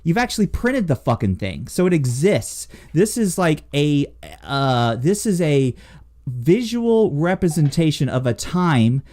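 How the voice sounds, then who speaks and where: A man's voice: a little high, sing-song, measured pace, a little mellow. A man co-hosting a casual talk show, speaking in an emphatic, rambling and profane way. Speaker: podcast co-host. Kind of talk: casual chat.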